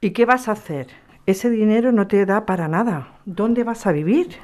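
Give this to an actress playing a young woman in an audio drama, an activist among her neighbours. She is direct and insistent, with a voice of ordinary female pitch. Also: normal speed, a little mellow, natural voice. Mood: concerned, firm. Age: young adult. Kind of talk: scripted audio drama acting.

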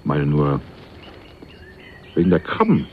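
A man's voice: a bass voice, huge pitch swings, takes it slowly, phone-quality sound, a little hiss or hum, full character voice. An actor playing a shrewd, watchful man in a radio crime drama, probing with wry questions. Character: shrewd, watchful male companion in a crime story. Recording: band-limited radio recording with music.

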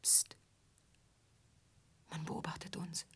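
An actress playing a wary, downtrodden young touring musician in a radio play, guarded, plaintive and direct. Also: female voice, slow pace, a bit bright, whispered. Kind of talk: German radio play acting.

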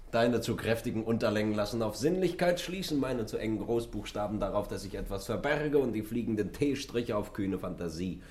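An actor playing a young traveling man, an intimate, deliberate and teasing lover in a classic radio play. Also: lowish voice, expressive, a little mellow, husky. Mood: wry, wistful.

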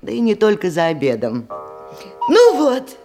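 An actress playing a genteel provincial widow in a radio play, narrating in a chatty, ornate, old-fashioned way. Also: female voice, medium pitch, huge pitch swings, measured pace, over music. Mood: gossipy. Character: genteel provincial widow hostess of nineteenth-century Russia.

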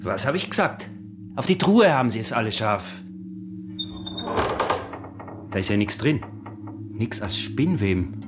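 Scheming, possessive peasant smuggler, Austrian accent, wheedling and proud, radio drama with music clearly underneath. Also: male voice, fairly low pitch, sing-song, a little slow, pauses often. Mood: sly, gloating. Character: scheming, possessive, short-winded smuggler husband, greedy and proud. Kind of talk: intimate radio drama acting.

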